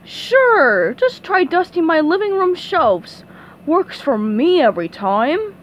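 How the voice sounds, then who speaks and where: A woman plays a peevish male squid from a cartoon. The delivery is sardonic and melodramatic, with big swings in a high-set voice. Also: sing-song, takes it slowly, slight background noise, exaggerated voice, low-quality recording. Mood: sly. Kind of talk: hobby cartoon comic dub.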